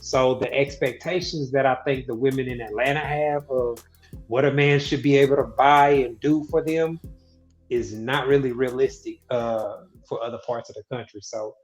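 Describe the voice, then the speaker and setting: A man's voice: medium pitch, steady tone, normal speed, faint music behind. Hesitant male podcast interviewer. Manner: hesitant, many "uh" fillers and restarts. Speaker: podcast host.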